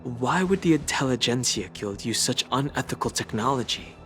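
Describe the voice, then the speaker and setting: A man's voice: mid-range voice, animated, normal speed, fairly bright tone, close to an everyday voice. A man voicing an inquisitive young man in a game, asking curious questions. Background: game music.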